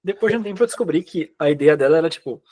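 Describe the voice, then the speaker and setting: A young Brazilian man speaking Brazilian Portuguese, recounting his experiences in a relaxed, chatty way with many fillers and quoted dialogue. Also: fairly high pitch, very fast, breathy edge. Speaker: guest. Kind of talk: casual interview chat.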